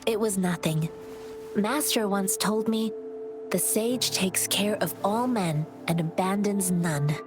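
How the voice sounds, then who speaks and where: Serene principled young wanderer voiced by a woman, measured delivery, mid pitch, music underneath. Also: natural voice. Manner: serene, measured, speaks in proverbs. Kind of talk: video game voice acting.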